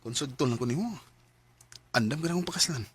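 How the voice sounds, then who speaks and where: A man's voice: mid-range voice, fast, husky. A man narrating and playing the lead in an amateur radio drama, with an emotional, heartbroken delivery. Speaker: storyteller and lead player.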